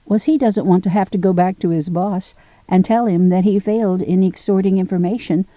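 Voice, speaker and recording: An actress playing a cool, sarcastic police station secretary facing down a thug. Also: female voice, medium pitch, fairly even intonation, a little fast, mellow, dry recording, close to an everyday voice. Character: sharp police secretary, dry and unflappable.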